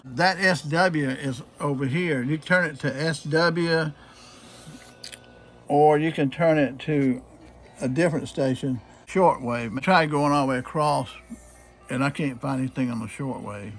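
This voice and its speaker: A man with a husky voice gives a casual, unscripted demonstration, explaining things in a plain-spoken, halting way. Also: fairly high pitch, a little hiss or hum. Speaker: presenter showing a restored old radio.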